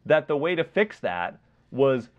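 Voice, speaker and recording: A man co-hosting a podcast in a casual film discussion, talking in a halting, wordy way as he thinks aloud. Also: mid-range voice, very animated, dull audio. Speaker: podcast co-host.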